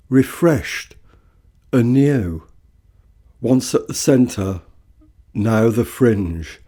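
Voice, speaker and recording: A man giving a solemn, measured dramatic recital of war poetry in deliberate phrases. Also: mid-range voice, mellow.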